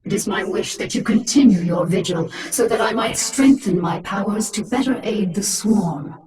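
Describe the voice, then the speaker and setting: A woman's voice: mid-range voice, normal speed, recorded from a distance, background noise. A woman playing a proud, menacing human-alien warrior queen in a video game, commanding, cold and self-assured, with an echoing effect on her voice. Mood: measured, commanding. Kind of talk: dramatic video game voice acting.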